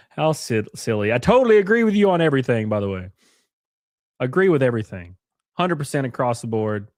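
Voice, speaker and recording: Male streamer, mid-pitch, congested, dry booth-close sound, sarcastic banter. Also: no echo. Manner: sarcastic, rambling, greets chatters by name. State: sniffly, congested sinuses. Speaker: livestream host. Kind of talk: casual reaction livestream chat.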